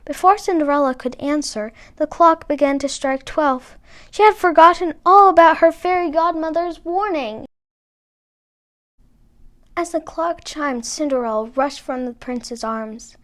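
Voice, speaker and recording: High-pitched woman reading a fairy tale. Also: unhurried. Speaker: storybook reader. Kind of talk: scripted fairy tale narration.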